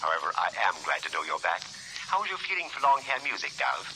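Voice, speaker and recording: Casting: flamboyant, fussy gentleman, low and very bright voice, affected 1940s radio delivery. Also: huge pitch swings, fast talker, soft background music, heavily acted. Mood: arch, fussy. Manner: florid, gushing, affected. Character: flamboyant, fussy, theatrical gentleman friend of a detective. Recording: noisy vintage 1940s radio recording.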